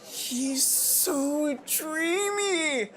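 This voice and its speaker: Excitable teen schoolgirl, put on by a game narrator, high-pitched and bright, gushing, boy-crazy, swooping delivery. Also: extremely slow, heavily acted. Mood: swooning, excited. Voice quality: high songbird voice. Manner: exaggerated, breathless gushing.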